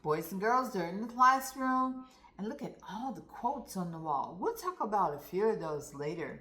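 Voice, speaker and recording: A woman reading a story aloud to children in an expressive, deliberate manner, speaking directly to young listeners. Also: mid-range voice. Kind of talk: read-aloud storytime narration. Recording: roomy, echoey sound.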